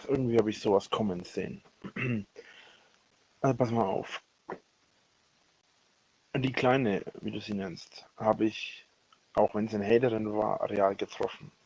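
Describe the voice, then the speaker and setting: A man speaking a rambling personal voicemail, halting and often correcting himself, with a husky voice. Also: lowish voice, fairly even intonation, a little mellow. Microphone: voice message recorded on a phone. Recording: dull lo-fi leaked voicemail recording.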